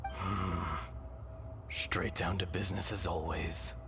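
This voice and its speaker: A deep, weary old dragon. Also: male voice, flat delivery, creaky, exaggerated voice. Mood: solemn. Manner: slow, solemn, measured. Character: ancient blind earth dragon, weary and proud. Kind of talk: game cutscene voice acting. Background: game score music.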